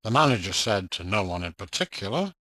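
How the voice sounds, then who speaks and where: A man narrating a ghost story from a script in a measured, suspenseful, theatrical manner. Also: fairly low pitch, sing-song, bright, forceful tone, dry recording.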